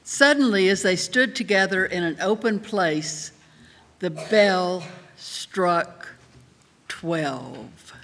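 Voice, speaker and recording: Female narrator, lowish, lively intonation, slow dramatic pacing, hall reverb. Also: slight background noise. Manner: deliberate, dramatic pauses. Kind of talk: scripted dramatic narration of a story.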